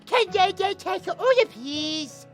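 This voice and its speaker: A woman voicing a small, naive, excitable male creature in an audio drama, with a childlike, gushing, eager manner and a squeaky, creaky voice. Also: measured pace, faint music behind. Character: small, excitable, naive, sweet mystic cafe helper, male.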